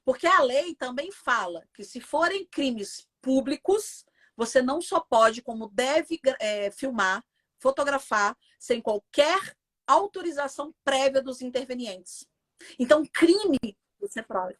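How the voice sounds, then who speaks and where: This Brazilian woman talks with a Brazilian Portuguese accent, with a somewhat high voice, and tells her story with emphatic indignation. She speaks casually, as a guest in an interview. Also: animated, unhurried, a bit bright.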